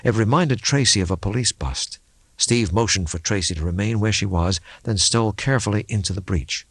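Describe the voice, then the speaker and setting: Male narrator for thriller audiobook: low, creaky voice, expressive and measured, close dry sound. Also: no room sound. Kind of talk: dramatic scripted narration of a suspense novel.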